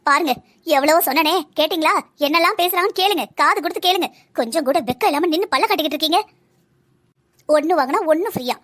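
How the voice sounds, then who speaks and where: Woman voicing a shrill, fast-talking bossy mother-in-law. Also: fairly bright tone, dry recording. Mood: angry, scolding. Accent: southern Tamil Nadu. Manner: scolding, nagging, sarcastic. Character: bossy, bitter village mother-in-law, middle-aged. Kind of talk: Tamil comedy cartoon dialogue.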